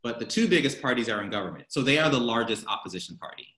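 A man's voice: medium pitch, lively intonation, rapid-fire, sharp tone, reverberant, low-quality recording. An American man chatting casually as a guest, telling stories animatedly and laughing often. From United States. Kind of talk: casual chat. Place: home in Berlin.

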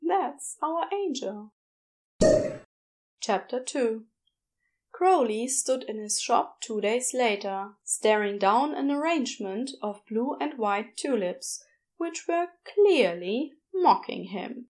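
A woman reading fiction as an audiobook narrator, expressive, with wry, deliberate emphasis. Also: a little high.

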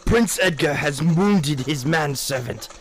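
A man's voice: fairly high pitch, lively intonation, takes it slowly, breathy edge, full character voice. A man playing an urgent royal guard barking orders in a fantasy audio drama.

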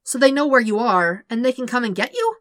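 A woman's voice: fairly high pitch, big pitch swings, brisk, a bit bright, dry recording, studio quality, voice pushed up. A woman voicing an awkward, proud twelve-year-old prince who talks in a formal, self-justifying way in an audiobook story. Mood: earnest, defensive.

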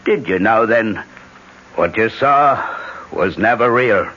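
Muffled 1970s radio play, Irish innkeeper, husky, shrewd, bossy, folksy lilt. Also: male voice, on the low side, lively intonation, takes it slowly, pushed and bright, full character voice. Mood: gruff, dismissive. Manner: brusque, lilting folksy phrasing. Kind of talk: 1970s radio drama acting.

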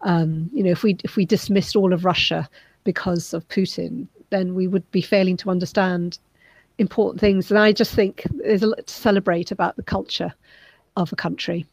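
Low-voiced, thoughtful woman journalist. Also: fairly even intonation, gravelly, darkish tone, no room sound, low-quality recording. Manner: thoughtful, measured, many "um"s. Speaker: documentary filmmaker and journalist. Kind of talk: interview answer.

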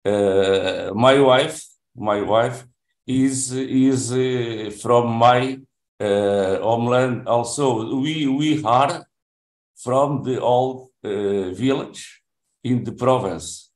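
A man in his seventies from Portugal, speaking English with a Portuguese accent, introducing himself in class in a halting way with many restarts. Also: medium pitch. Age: 70s. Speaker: language student. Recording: roomy echo.

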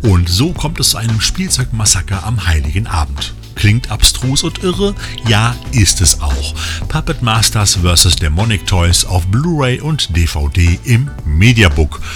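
Low-voiced man narrating over music. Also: big pitch swings, a little slow. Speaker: podcast host. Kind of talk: scripted narration, film release news. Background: music under the voice.

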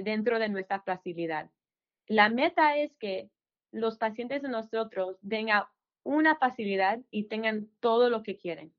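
A woman's voice: a little high, muffled recording. A woman in her thirties who grew up in the United States and speaks with an American English accent. She is a clinic manager giving an informational talk to residents, hesitant, with frequent fillers, and she slips English words into her Spanish.

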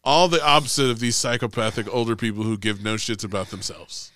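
A man's voice: on the low side, steady tone, brisk, fairly bright tone, dry recording. A man in his forties co-hosting a podcast, chatting casually and ranting with profanity when angry. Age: 40s. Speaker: podcast co-host. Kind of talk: casual chat.

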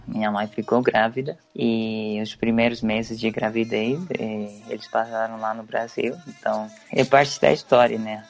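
A young man from Venezuela, a native Spanish speaker, answering interview questions in an unhurried, hesitant way with many restarts. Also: mid-range voice, creaky. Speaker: interviewee, English teacher. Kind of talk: casual interview.

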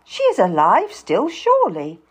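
A woman's voice: on the high side, huge pitch swings, a little slow, husky, heavily acted. A woman voicing a composed, sceptical genteel lady, cool and unconvinced, in an audiobook story.

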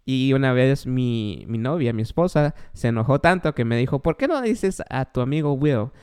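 A man's voice: mid-range voice, animated, moderate pace, darkish tone, smooth. A man with a Guatemalan accent hosting his podcast, enthusiastic and rambling as he asks questions. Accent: Guatemalan Spanish. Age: adult, father of a young child. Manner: enthusiastic, rambling, self-described joker. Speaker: podcast host. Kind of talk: casual podcast interview.

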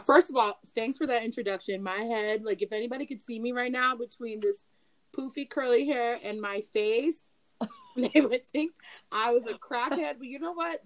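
Bubbly excitable woman guest, dry sound. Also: on the high side, moderate pace, no echo. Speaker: podcast guest. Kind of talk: casual chat.

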